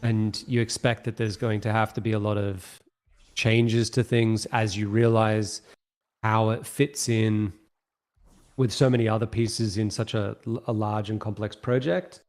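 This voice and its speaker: Measured, thoughtful low-voiced man. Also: level tone, darkish tone. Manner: thoughtful and measured, slowing down to explain. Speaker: project lead answering questions. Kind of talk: unscripted answers at an online town hall.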